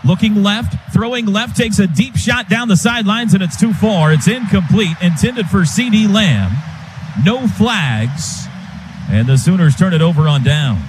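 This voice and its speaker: A man's voice: high voice, measured pace. An American man calling live football play-by-play on the radio in clipped phrases that rise with the action. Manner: clipped phrases, excited calls rising with the action. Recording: loud stadium noise behind radio broadcast. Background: stadium ambience.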